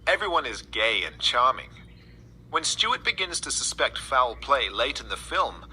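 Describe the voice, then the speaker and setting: Animated, bright-voiced man reading aloud. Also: medium pitch, rough recording. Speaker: reader reading an article aloud. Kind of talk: scripted read-aloud of a first-person essay.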